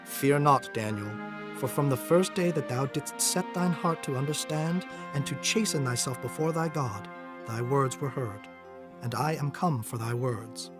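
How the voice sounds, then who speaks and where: Man acting a grave angel messenger, measured declamatory delivery, mid-pitched, dramatized scripture, music behind. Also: natural voice. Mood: solemn, reassuring. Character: solemn male angel messenger foretelling wars of kings.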